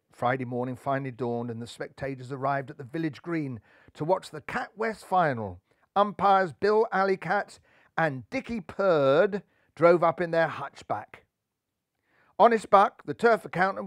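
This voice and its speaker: Higher-pitched man reading a children's story. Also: animated, moderate pace, no room sound, clean studio sound. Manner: theatrical, playful. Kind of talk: expressive children's story narration.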